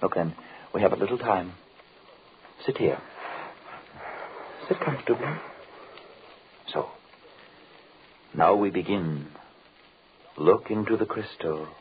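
A man's voice: low voice, background noise. An actor playing a commanding, fatherly yet sinister magician and hypnotist in theatrical 1940s radio horror style. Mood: coaxing, mysterious. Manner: slow incantatory commands, theatrical. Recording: muffled tinny 1940s radio recording.

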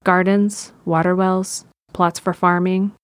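A woman's voice: fairly low pitch, level tone, slow, no echo. A woman reading scripted narration for an audio drama.

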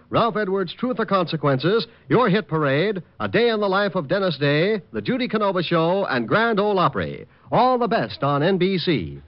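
A man reading the closing credits and network promotions as a 1950s radio announcer. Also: sits high. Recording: dull old radio broadcast with music.

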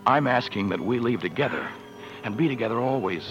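A man's voice: mid-range voice, animated, fairly quick, natural voice. An actor playing an ardent, pleading male lover in a 1940s radio romance. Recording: muffled vintage radio recording, loud music.

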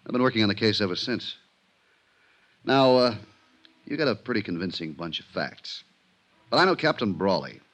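A man acting a weary, level-headed American police inspector in a 1950s radio drama, measured and reflective. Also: fairly low pitch, expressive, a bit bright. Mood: persuasive, emphatic. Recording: noisy 1950s radio recording.

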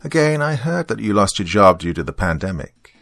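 A man's voice: lowish voice, big pitch swings, moderate pace, creaky, a bit bright, dry recording, pitched above the performer's own voice, exaggerated voice. A man voicing a pushy, condescending male debt collector in an audiobook, stern and badgering in a falsely helpful way. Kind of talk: audiobook character dialogue.

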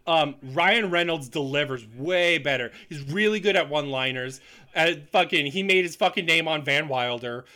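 Bright-voiced, higher-pitched opinionated man. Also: moderate pace. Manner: opinionated, swears casually. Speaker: podcast panelist. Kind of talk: casual movie discussion.

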